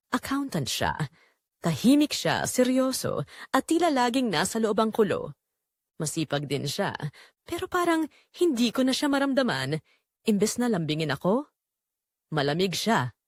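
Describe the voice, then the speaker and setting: A woman with a Filipino accent playing a quiet, neglected wife who confides her hidden longing in a dramatic first-person audio story. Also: mid-range voice, sing-song, measured pace, long pauses, dry recording, clean studio sound. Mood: wistful, hurt.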